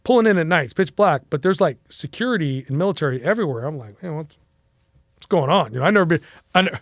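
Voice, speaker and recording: Mexican-american man, fairly high voice, casual rambling story, dry close sound. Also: lively intonation, fast, no room sound. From United States. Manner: rambling with fillers and restarts. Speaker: podcast guest.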